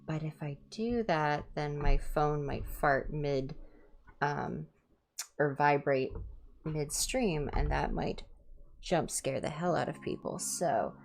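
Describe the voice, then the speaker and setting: A woman hosting a livestream, chatting casually in ASMR style with a low-key, rambling, self-deprecating manner. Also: takes it slowly, creaky. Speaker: livestream host and reader.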